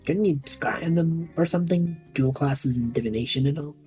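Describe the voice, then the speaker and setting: A woman's voice: deep voice, moderate pace, warm tone, soft background music, natural voice. A woman voicing a cocky, careless male student in an audio drama, casual and flippant.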